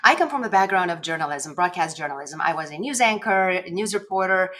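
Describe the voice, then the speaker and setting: A woman's voice: fairly low pitch, lively intonation, quick pace, a bit bright. A woman with a Lithuanian accent talks conversationally to camera as a video coach, giving encouraging tips and stressing key words. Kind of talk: casual instructional vlog to camera. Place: home office, indoors. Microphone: desk microphone at a webcam setup.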